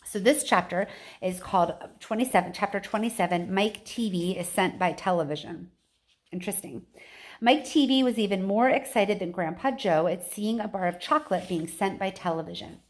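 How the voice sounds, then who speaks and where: A woman's voice: medium pitch, moderate pace. A woman reading a children's book aloud like a teacher, expressively, with casual chatty asides.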